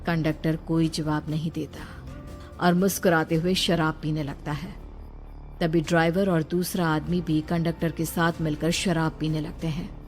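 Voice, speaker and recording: A woman's voice: low voice, flat delivery, moderate pace, a little mellow. A woman narrator reading a scripted horror story in a storytelling style. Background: music.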